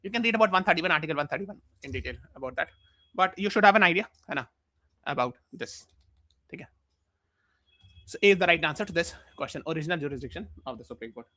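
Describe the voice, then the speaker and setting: A man's voice: a little high, animated, rapid-fire, pushed and bright, dry recording. A man with an Indian accent teaching a live online exam class while mildly feverish, explaining points repeatedly and checking with questions.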